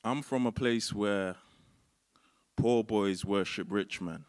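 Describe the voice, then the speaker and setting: A young man reads a spoken word poem on stage, his fairly low voice driving a steady, rhythmic, declamatory beat. He leans into repeated phrases with a performer's weight. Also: slow, clean tone. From Manchester, England. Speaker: performance poet. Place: stage at a live event.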